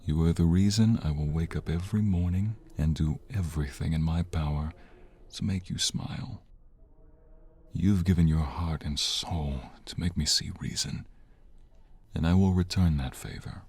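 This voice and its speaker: A man playing a tender, devoted mobster husband in an ASMR romance roleplay, speaking in a hushed, earnest, husky, gravelly voice. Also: sits low. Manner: earnest, sentimental, hushed, unhurried.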